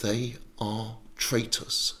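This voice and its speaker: A man's voice: fairly low pitch, sing-song, slow pace, creaky, exaggerated voice. A man voicing a paranoid, raging tyrant king in a dramatic retelling, explosive and menacing. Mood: cold menace. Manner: explosive shouting that drops to a cold hiss. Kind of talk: dramatic storytelling.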